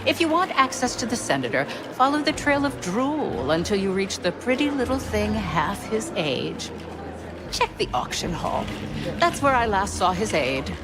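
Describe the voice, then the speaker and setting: A woman's voice: medium pitch, big pitch swings, normal speed, music in the background, close to an everyday voice. A woman playing a bitter, haughty politician's wife in a video game, arch and disdainful as she talks. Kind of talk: video game cutscene acting.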